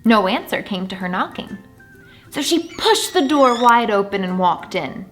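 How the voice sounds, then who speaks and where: Woman doing a kids read aloud, animated storyteller, draws words out, mid pitch, soft music behind. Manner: animated, deliberate storytelling with drawn-out emphasis. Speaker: children's story reader and channel host.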